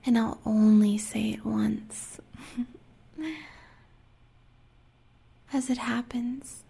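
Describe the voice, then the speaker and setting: Woman acting villainous huntress, American, high soft mellow voice, sadistic, seductive, taunting, audio roleplay. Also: exaggerated voice. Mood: gloating. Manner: taunting, sultry, breathy half-whispers with cruel pet names.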